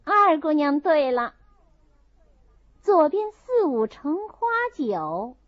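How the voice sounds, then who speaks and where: A woman voicing a lively young maidservant who calls out drinking-game verses in a classic novel reading. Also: sits high, takes it slowly, no echo, voice pushed up, full character voice. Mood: bright, calling out. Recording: dull, muffled audio.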